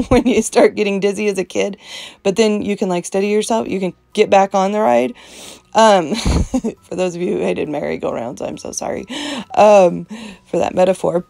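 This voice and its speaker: A woman with a heavily gravelly, fry-laden voice talks in an earnest, emphatic, chatty way as she hosts an art journaling session. Her voice is strong and opinionated. The sound is dry, with almost no room around it. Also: mid-range voice, a little mellow, no echo. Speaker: host of an art journaling channel. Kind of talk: casual devotional chat while art journaling.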